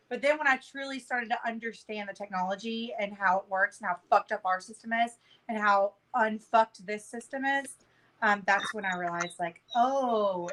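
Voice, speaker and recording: A woman's voice: fairly high pitch, pushed and bright, poor audio. A brash, emphatic woman telling things in a casual group chat over a video call, swearing freely. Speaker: panel guest.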